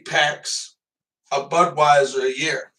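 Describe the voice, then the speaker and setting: A man's voice: a little high, fairly even intonation, slow, pushed and bright, recorded from a distance. A man hosting a live stream, chatting casually and rambling loosely, swearing now and then. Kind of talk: casual livestream chat.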